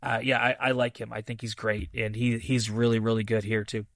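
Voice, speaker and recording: A man hosting a podcast, casually reviewing a film in a halting, thinking-aloud way with enthusiastic, repeated emphasis. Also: medium pitch, little pitch movement, a bit bright, no echo, studio quality. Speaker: movie review podcast host.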